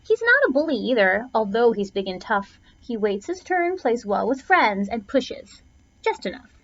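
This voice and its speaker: Lady reading a rhyming kids book out loud, super sing-song up and down, dramatic on key words, dull lo-fi audio. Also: fairly high pitch, very animated, moderate pace. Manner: theatrical phrasing, stretches and punches key words. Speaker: teacher reading a picture book aloud. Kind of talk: expressive children's storybook read-aloud, rhyming verse. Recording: dull, lo-fi sound.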